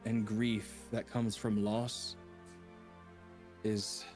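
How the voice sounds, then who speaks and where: Man playing an earnest, gentle adventurer. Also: on the low side, flat delivery, soft background music. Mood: consoling. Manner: slow, earnest, measured. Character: sympathetic young male adventurer, gentle.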